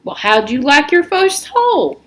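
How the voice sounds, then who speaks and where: A woman's voice: high voice, huge pitch swings, unhurried, pitched above the performer's own voice, full character voice. A woman voicing a brash, teasing teenage boy with a casual, mocking way of talking in a storybook.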